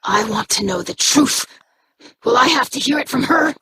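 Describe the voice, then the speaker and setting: A woman's voice: high-pitched, expressive, moderate pace, pushed and bright, slight background noise. A woman playing an earnest young female alien scribe in a video game, speaking formally and inquisitively.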